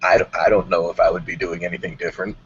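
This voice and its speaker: A man's voice: low-pitched, little pitch movement, racing, husky. A man hosting a casual panel discussion about music. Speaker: host of a music talk show. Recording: dull audio with background noise.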